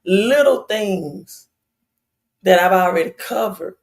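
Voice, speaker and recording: A woman hosting a casual live stream, her voice raspy and hoarse, addressing viewers directly and repeating phrases for emphasis. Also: mid-range voice, lively intonation, slow pace.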